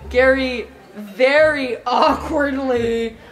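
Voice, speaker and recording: High, unhurried woman improvising a game. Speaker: player on a roleplay podcast. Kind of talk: improvised tabletop roleplay, casual.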